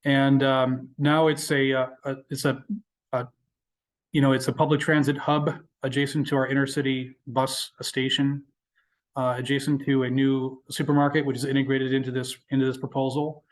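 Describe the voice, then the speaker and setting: A man answering questions as a guest presenter at a webinar, explaining in a halting way with repeated words. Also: medium pitch, level tone.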